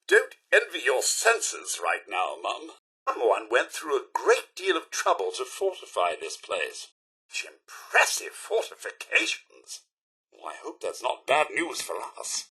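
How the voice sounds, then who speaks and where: A fussy, courteous British robot butler from a video game, with a bright voice that swoops up and down at a high pitch. The diction is prim, florid and formal, that of an eager servant who is easily dismayed. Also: male voice, breathy edge, heavily acted. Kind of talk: theatrical video game character acting.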